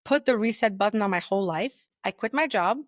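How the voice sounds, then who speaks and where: A young woman from Bulgaria, an interview guest telling her story in an animated, self-deprecating way. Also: mid-range voice, brisk, dry recording, clean studio sound.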